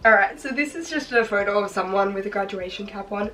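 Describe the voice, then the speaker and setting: A teenage girl, her voice hoarse and congested, chatting upbeat with lots of "like" fillers as she makes a craft project on her bed. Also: medium pitch, quick pace, fairly bright tone, far from the mic, a little hiss or hum. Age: teens. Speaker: vlogger. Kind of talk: casual chat while crafting. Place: bedroom, sitting on her bed.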